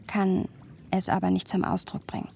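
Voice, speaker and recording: A woman's voice: lowish voice, completely flat delivery, moderate pace, creaky, mellow, breathy edge, heavily acted. An actress playing a reflective, bookish woman who narrates her close friendship in a literary radio drama. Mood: tender, pensive.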